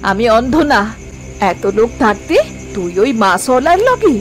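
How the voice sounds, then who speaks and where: A woman acts out a strict village mother from a cartoon folk tale. Her voice sits high, with a sarcastic, scolding, worried manner. Faint music plays underneath. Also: big pitch swings, unhurried. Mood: scornful scolding. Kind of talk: dramatic cartoon voice acting.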